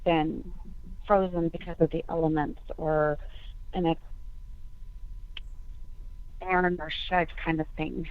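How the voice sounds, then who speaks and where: A woman's voice: sits low, flat delivery, takes it slowly, long pauses, clean tone, no room sound. Woman on a tinny phone line. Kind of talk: conference call discussion. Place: telephone conference call.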